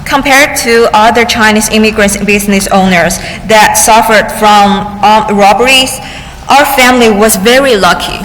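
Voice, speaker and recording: A woman interpreting testimony into English at a public hearing, halting at times, with restarts and cut-off words. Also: mid-range voice, a bit bright, slight background noise. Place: large public hearing chamber. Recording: echoey hearing room with faint ambience.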